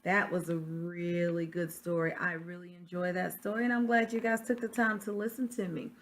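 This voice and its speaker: A woman teacher giving quiet conversational asides during a read-aloud and putting questions to her listeners. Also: fairly low pitch, flat delivery, moderate pace, a little hiss or hum. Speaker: sixth grade teacher.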